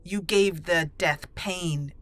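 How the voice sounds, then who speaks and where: A woman's voice: on the low side, slow pace, a bit bright. A woman narrating a fantasy game roleplay in a thoughtful, earnest way, speaking in character between her explanations.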